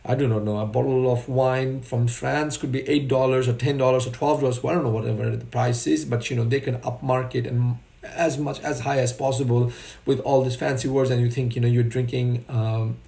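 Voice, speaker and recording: A man's voice: medium pitch, steady tone, brisk, vocal fry, mellow, roomy sound. A man in his forties talking casually to a webcam from a room at home, loose and conversational with many fillers and restarts. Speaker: vlogger. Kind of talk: casual spoken commentary. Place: home room with curtains, indoors.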